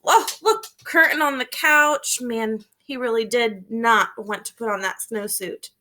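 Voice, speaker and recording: A woman reading a children's storybook aloud as a teacher, expressive and emphatic, explaining things to young listeners. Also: on the high side, measured pace.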